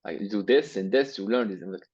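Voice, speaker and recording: A man's voice: fairly low pitch, fast talker, darkish tone, husky, muffled recording. A young man with an Arabic accent, speaking English as his third language, giving a blunt, profane, emphatic interview answer. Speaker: guest. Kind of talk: interview, motivational rant.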